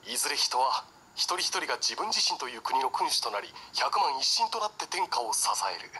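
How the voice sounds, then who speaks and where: An actor playing a wry, scholarly retired warlord in a video game, musing and mildly weary. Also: male voice, mid-range voice, sing-song, fairly quick, a little hiss or hum, poor audio. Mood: thoughtful, assured. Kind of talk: Japanese video game cutscene acting. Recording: game audio with loud backing music.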